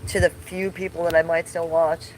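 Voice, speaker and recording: A woman hosts a loose, chatty livestream from her tree-covered backyard, a bit sick and losing her voice. Her voice sits on the lower side. Faint outdoor noise and passing cars can be heard behind her. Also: flat delivery, moderate pace, rough recording. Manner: chatty, loose, swears casually, talks to chat viewers by name. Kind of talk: casual livestream chat outdoors. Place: backyard under trees, outdoors.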